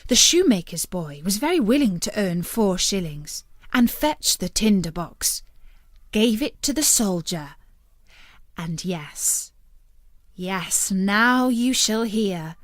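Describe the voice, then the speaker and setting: A woman narrating a fairytale as a storyteller, with an expressive and deliberate storybook delivery. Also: mid-range voice, no echo, studio quality.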